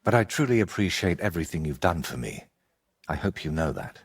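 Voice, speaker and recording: A man playing an evasive, eccentric wandering painter in a medieval video game, with a husky, rough-edged voice and a theatrical, riddling way of talking. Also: low-pitched, sing-song, brisk, full character voice. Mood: warm, grateful. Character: evasive eccentric male wandering painter, wry and world-weary.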